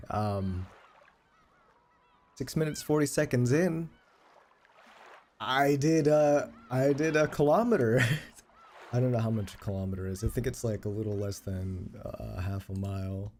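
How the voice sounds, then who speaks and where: Male streamer, late 20s, low-key dark tone with vocal fry, loose sweary rambling, slight noise behind. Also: moderate pace, pauses often. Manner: rambling, profane, self-deprecating. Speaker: game livestreamer. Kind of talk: casual livestream chat.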